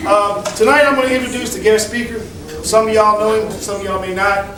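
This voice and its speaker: A man with a loud, folksy way of talking, chairing a meeting and making announcements to a crowd in a community center hall. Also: high-pitched, steady tone, brisk. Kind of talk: public address to a meeting. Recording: echoey hall from far off.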